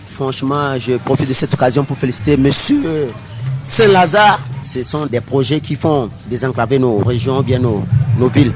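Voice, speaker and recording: A man from Togo whose first language is Moba talks up his concert in a bright, promotional way, slipping into sung phrases now and then. He is recorded inside a nightclub, and loud music thumps behind him the whole time. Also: medium pitch, normal speed. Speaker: singer interviewed after a show. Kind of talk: casual interview at a concert venue.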